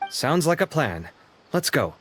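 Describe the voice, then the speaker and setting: A man's voice: fairly high pitch, sing-song, a little slow, fairly bright tone, a little hiss or hum. A man voicing an easygoing young male companion in a video game, talking in a casual, thoughtful way. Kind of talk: game voice acting.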